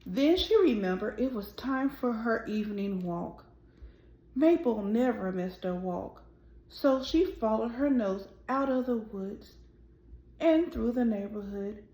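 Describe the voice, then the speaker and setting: Slow-paced woman reading to children, roomy sound. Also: a little high, lively intonation, dark tone. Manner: deliberate, addressing young children directly. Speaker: host of a children's read-aloud show. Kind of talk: children's storybook read-aloud.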